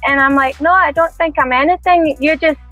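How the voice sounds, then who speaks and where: A woman with a soft, quiet, sweet-sounding voice, giving a casual interview answer. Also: high-pitched, fairly even intonation, a little fast, no room sound, lo-fi sound, faint music behind. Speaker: professional fighter.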